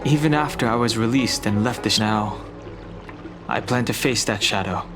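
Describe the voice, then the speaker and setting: Actor as low, reserved young man. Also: over music, lightly acted. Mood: restrained, weary. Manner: measured, formal, restrained. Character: aloof, reserved young dragon-horned man, burdened by a past life. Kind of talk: video game dialogue acting.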